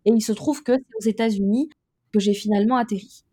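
A woman in her thirties from Côte d'Ivoire, recounting her own experience as an interview guest in a reflective, measured way with frequent hesitations. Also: medium pitch, mellow, slightly rough. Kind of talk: personal testimony in an interview.

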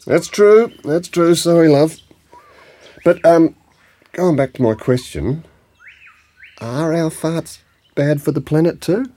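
A man's voice: fairly high pitch, takes it slowly, pauses often, dark tone. An actor playing a worried, gullible older grandfather in a comic audio drama, earnest, blunt and a little hesitant. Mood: curious.

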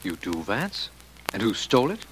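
An actor playing an earnest district attorney who narrates a detective mystery in formal, old radio drama style. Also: male voice, fairly low pitch, huge pitch swings, moderate pace, a bit bright, slight background noise, poor audio. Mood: curious. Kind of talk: 1940s-50s radio drama acting.

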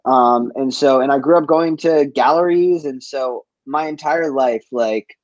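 A man's voice: mid-range voice, a little slow, no echo. Casual man, dry slightly muffled sound. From East Boston, USA. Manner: informal, slangy, peppered with "like". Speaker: interview guest, chef and business owner. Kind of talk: casual conversational storytelling.

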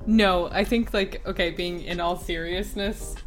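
Irish woman, lowish, candid and sweary. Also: steady tone, measured pace, faint music behind. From Ireland. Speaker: podcast co-host. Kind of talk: casual chat.

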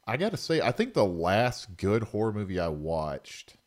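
Man, deep voice with animated pitch swings, enthusiastic, agreeable podcast chatter. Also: normal speed. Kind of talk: casual podcast chat.

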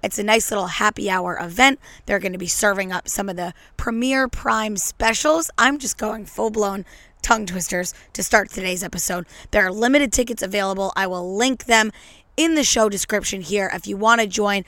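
A slightly hoarse woman talks straight into a podcast mic, riffing and cracking jokes in a brash, playful ramble. Her voice sits at an ordinary middle pitch, and the sound is dry and close with no room around it. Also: moderate pace, a bit bright, dry recording. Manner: brash, joking, tongue-in-cheek rambling. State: a little hoarse. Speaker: podcast host. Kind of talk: casual solo podcast monologue. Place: at home on a couch. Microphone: podcast microphone.

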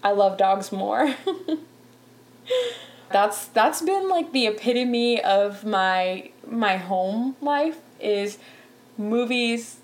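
A woman's voice: medium pitch, expressive, very slow. A young woman from Canada, hosting a show in casual chat, talking freely with lots of fillers and laughter. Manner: chatty, laughs often, many "like" fillers. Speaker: podcast host.

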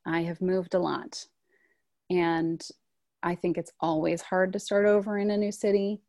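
A woman in her thirties speaks as a guest in a relaxed conversation. Her voice sits on the low side, close to the mic with almost no room sound, and she talks thoughtfully, often pausing on "like" and "um.". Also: dry recording. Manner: thoughtful, frequent "like" and "um" fillers. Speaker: guest, stage actor. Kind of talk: casual conversational interview.

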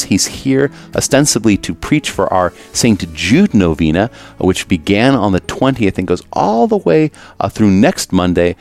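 A man's voice: fairly low pitch, a little mellow. A man, a priest hosting a parish show, chatting casually with many hesitant restarts and laughs. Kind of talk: casual interview chat.

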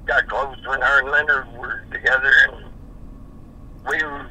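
A man, answering questions on a phone call in a halting, stammering way. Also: mid-range voice, little pitch movement, pushed and bright, a little hiss or hum. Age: 50s. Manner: halting, stammering, repeats himself. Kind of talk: casual phone conversation.

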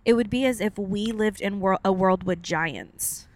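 A woman's voice: mid-range voice, a little slow. Chatty young woman, lots of "like". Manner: chatty, long-winded, laughs often, many "like" fillers. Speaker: podcast co-host. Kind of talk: casual chat.

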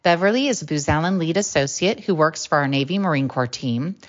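A middle-aged woman hosting a podcast interview, asking thoughtful questions in a measured way. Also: low-pitched, lively intonation. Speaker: podcast host. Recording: muffled lo-fi audio.

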